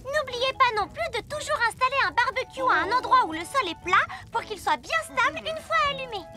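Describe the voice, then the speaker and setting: Very high-pitched little cartoon girl. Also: animated, fairly quick. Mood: earnest, instructive. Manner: earnest, emphatic, explanatory. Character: earnest, careful little girl cadet in a cartoon. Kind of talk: children's cartoon acting. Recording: loud music behind the voice.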